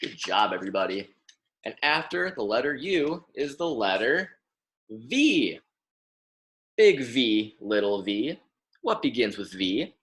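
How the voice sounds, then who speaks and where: Young American man reading aloud, slow and animated. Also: mid-range voice. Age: young adult. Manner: instructive, repeats and emphasises words for young children. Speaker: graduate student reading aloud to children. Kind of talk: children's picture-book read-aloud lesson.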